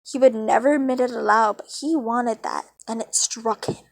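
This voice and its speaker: A woman's voice: fairly high pitch, steady tone, moderate pace, creaky. A woman reading a story aloud as an amateur narrator, informal and dramatic, with casual asides. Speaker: fan fiction reader.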